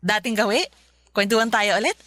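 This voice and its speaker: A woman's voice: medium pitch, fairly even intonation, brisk, a bit bright, dry recording, studio quality, natural voice. A woman playing a sly young mistress in a Filipino radio drama, feigning innocence with a smug, teasing manner. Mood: chirpy, teasing.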